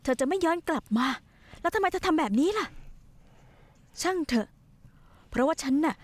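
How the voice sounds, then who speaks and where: A pushy, scheming young wife. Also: female voice, very high voice, expressive, moderate pace, dry recording. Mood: insistent. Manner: coaxing, pushy, manipulative. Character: scheming young wife plotting to run away. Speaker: scheming wife in a dubbed drama. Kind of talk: dubbed television drama acting.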